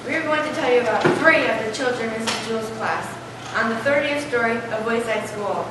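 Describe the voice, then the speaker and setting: A woman's voice: fairly high pitch, brisk, natural voice. A young adolescent girl reading scripted narration as a student narrator in a school readers theater play. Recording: echoey distant stage recording, noisy.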